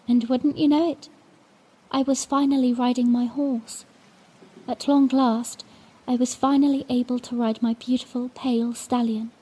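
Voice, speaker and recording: A woman's voice: fairly high pitch, mellow, breathy edge, low-quality recording. A woman playing a bashful young princess, telling her own story tenderly and earnestly in a fan-made audio drama. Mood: tender, wistful.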